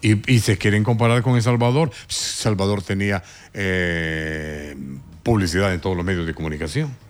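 Indignant radio host. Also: male voice, normal speed. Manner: indignant, emphatic, sharp rises in pitch. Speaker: radio talk show host. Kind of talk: opinionated morning radio commentary.

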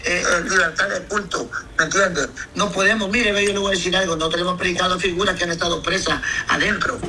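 A man's voice: high-pitched, steady tone, fast, bright, forceful tone, slight background noise, poor audio. A man, a pastor answering interview questions emphatically and often asking if he's understood. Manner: emphatic, repeats "me entiende".

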